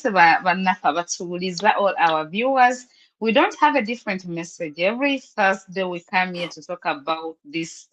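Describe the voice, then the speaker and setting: A woman's voice: mid-range voice, a bit bright, poor audio. Ugandan woman, host of an online business chat, persuasive, heard over a video call. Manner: welcoming, persuasive, preaching tone.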